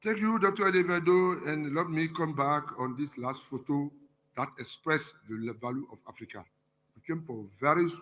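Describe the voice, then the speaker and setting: Man, session chair, formal; bright voice, echoing hall. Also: fairly high pitch. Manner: formal, introduces speakers, some repetitions. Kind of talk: conference moderation. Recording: reverberant conference hall.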